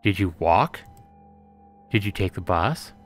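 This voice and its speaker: A man's voice: deep voice, animated, slow pace, soft background music, pitched below the performer's own voice, full character voice. A man voicing a skeptical young woman in a visual novel, questioning bluntly with a sarcastic edge. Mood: accusing. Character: skeptical, interrogating young woman demanding proof in mystery.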